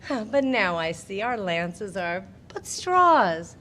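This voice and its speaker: An actress playing Kate, a tamed shrew who lectures other women, in a slow, declamatory Shakespearean delivery. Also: female voice, mid-range voice, very animated, bright, forceful tone, a little hiss or hum, exaggerated voice. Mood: solemn. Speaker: actress in a live audio play. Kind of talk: Shakespearean stage acting.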